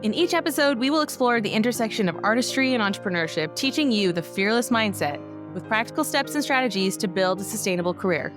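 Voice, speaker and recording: A woman with a mid-range voice reads a scripted podcast intro in an upbeat, promotional tone. Quiet music plays faintly beneath her words. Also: medium pitch, expressive, fast talker, hardly any pauses. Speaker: podcast host and classical musician.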